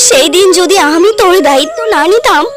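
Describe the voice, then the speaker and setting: A woman's voice: very high voice, expressive, fairly quick, music in the background. A woman voicing a protective older family elder in a cartoon story, admonishing and motherly. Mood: reproachful.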